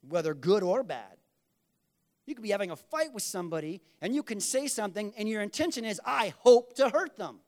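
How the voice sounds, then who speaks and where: Male pastor, high voice, emphatic and repetitive sermon delivery. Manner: emphatic, repeats key lines for stress; swings between loud bursts and quiet asides.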